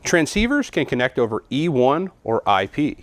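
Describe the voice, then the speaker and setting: A man's voice: medium pitch, very animated, normal speed, no echo. A man giving a scripted, methodical product walkthrough in an instructional way, as a trainer would.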